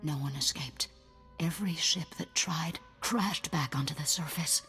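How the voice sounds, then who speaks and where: An actress playing a shaken, horrified crew member of a medical ship in a video game. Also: female voice, deep voice, unhurried, pushed and bright, faint music behind. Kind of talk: video game cutscene acting.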